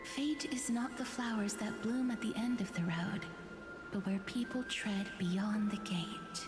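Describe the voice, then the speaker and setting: An actress voicing a gentle, wistful young woman in a dramatic video game, speaking softly, tenderly and solemnly. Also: medium pitch, fairly even intonation, a little slow, close to an everyday voice. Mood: wistful farewell. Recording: echoey long reverb, music behind.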